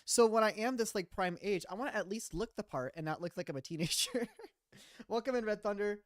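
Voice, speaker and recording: A man's voice: high-pitched, brisk, no echo, clean studio sound. A man in his mid-twenties, a streamer chatting casually and rambling with frequent laughs while reading his chat. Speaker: streamer and animator.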